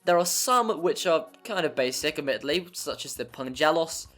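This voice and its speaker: A narrator delivers a scripted game review in a mid-pitched voice. The delivery is wry and prone to exaggeration, with some mild swearing, and music plays behind. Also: lively intonation, moderate pace, a bit bright. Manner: wry, mildly sweary, hyperbolic. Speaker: video essay narrator. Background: background music.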